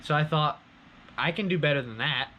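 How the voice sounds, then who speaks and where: A man's voice: fairly high pitch, brisk, lo-fi sound, a little hiss or hum. A man hosting a hobby prop-making video, explaining his build in a casual, chatty, enthusiastic way.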